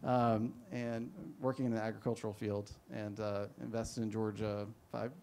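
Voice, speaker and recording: A man's voice: fairly low pitch, little pitch movement, moderate pace, gravelly, noisy background. A man moderating a panel, introducing the speakers in a rambling, self-deprecating way. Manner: rambling, self-deprecating, many fillers and restarts.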